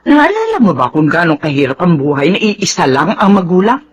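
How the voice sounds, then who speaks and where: A woman's voice: fairly low pitch, big pitch swings, a little fast, breathy edge. An actress playing a stern, protective widowed mother in a Filipino radio drama, firm and admonishing. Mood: grave, pleading.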